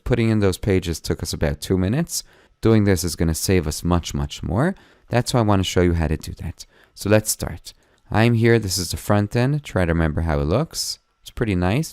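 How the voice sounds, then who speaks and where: A hoarse man walking through a website tutorial step by step in a plain, friendly way, speaking at a desktop microphone. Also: sits low, darkish tone, no room sound, studio quality. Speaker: web design tutor. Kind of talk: screen-recorded tutorial narration.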